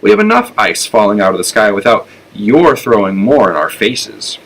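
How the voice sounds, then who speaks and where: A man voicing a bossy, sharp-tongued little female cat in a storybook reading. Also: lowish voice, big pitch swings, moderate pace, gravelly, slight background noise, exaggerated voice, rough recording. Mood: irritated.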